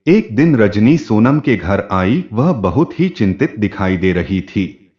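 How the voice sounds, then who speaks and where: A man's voice: on the low side, sing-song, slow pace. A man narrating a scripted story as a storyteller. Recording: dull, muffled lo-fi audio.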